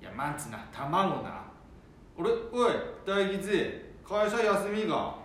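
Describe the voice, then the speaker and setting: Echoey guy doing feisty old japanese grandpa, thick nanbu dialect, slow n animated, radio drama. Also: sits high, heavily acted. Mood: feisty, cheerful. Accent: Nanbu dialect, northeastern Japan. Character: stubborn, spirited elderly grandfather, proud of still working. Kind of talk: amateur radio drama acting. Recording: very echoey room, faint noise.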